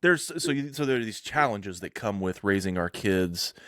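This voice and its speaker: A man's voice: lowish voice, expressive, moderate pace, a bit bright. A man hosting a casual podcast interview, rambling with many restarts and filler words. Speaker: podcast host.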